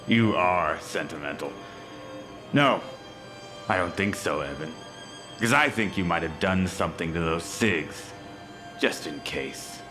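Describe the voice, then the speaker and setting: An actor playing a hulking, mocking turncoat villain in a dark audio drama, taunting and cold in his delivery. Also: low-pitched, lively intonation, slow, pauses often. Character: menacing, mocking hulking male turncoat, superhumanly strong. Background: music.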